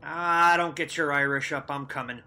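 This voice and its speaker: A man's voice: a little high, steady tone, moderate pace, bright, forceful tone, slight background noise. A man playing a jocular, needling dockworker husband in a radio play. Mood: impatient. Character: joking, impatient dockworker husband, a wisecracker.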